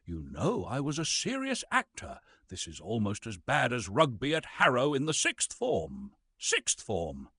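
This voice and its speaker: A pompous old British stage actor played by a man reads with grand theatrical weight. His husky voice rises and falls dramatically, close and dry, with the bitterness of a classical thespian fallen on hard times. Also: medium pitch, huge pitch swings, unhurried, fairly bright tone, dry recording, heavily acted. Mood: bitter, indignant. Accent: British English. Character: pompous, bitter old Shakespearean actor reduced to reading science.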